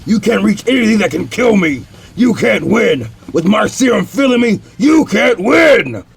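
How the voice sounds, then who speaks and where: A man voicing a brutish, boastful male villain who shouts in a slow, gravelly growl. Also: high-pitched, big pitch swings, no echo, voice pushed up, exaggerated voice. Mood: raging.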